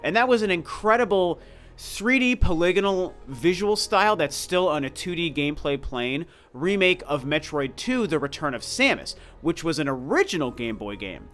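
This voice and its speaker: A man's voice: fairly high pitch, expressive, normal speed, fairly bright tone, soft background music. A man in his forties with a congested, slightly scratchy, stuffed-up voice, giving casual and emphatic opinionated commentary as a video game review host. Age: 40s. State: congested, sick with a cold.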